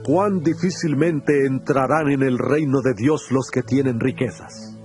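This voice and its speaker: An actor playing Jesus as a calm, earnest teacher, speaking in a solemn, measured tone in a dramatized scripture recording. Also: male voice, medium pitch, soft background music, lightly acted.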